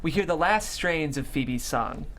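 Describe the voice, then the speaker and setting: A woman's voice: low-pitched, animated, measured pace. A woman reading out stage directions as narrator at a script table read.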